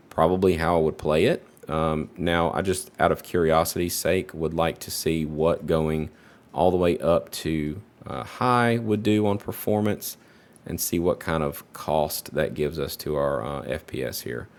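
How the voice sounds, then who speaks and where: A man casually talking through a game benchmark, relaxed and rambling with lots of fillers, his voice a little off while he gets over a virus. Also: deep voice. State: recovering from a virus, voice slightly off. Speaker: PC hardware benchmark creator. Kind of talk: casual gameplay benchmark commentary.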